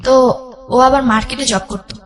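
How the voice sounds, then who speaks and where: A young woman telling a personal life story as a guest, haltingly, repeating herself and mixing in English words. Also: on the high side.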